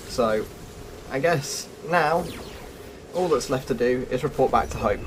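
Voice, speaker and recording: Male gaming host over noticeable background noise. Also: fairly low pitch. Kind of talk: casual game walkthrough commentary.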